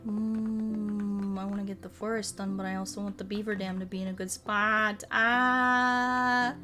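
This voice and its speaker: A woman's voice: mid-range voice, level tone, unhurried. A woman streaming a game, chatting casually and thinking aloud in an informal, rambling way. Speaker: streamer and host. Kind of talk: casual livestream chat while playing a game. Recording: music playing behind the voice. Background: music.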